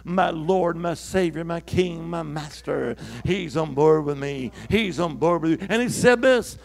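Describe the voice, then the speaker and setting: A male preacher with a high, gravelly voice delivers a sermon in a church. He calls out questions for the congregation to answer and swells into emphatic, forceful lines. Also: unhurried. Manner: call-and-response preaching, rising to emphatic shouts.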